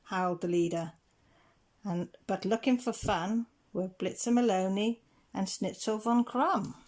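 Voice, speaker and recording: A woman reading rhyming picture-book stories aloud in a measured, rhythmic way. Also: medium pitch, a little mellow. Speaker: children's story reader.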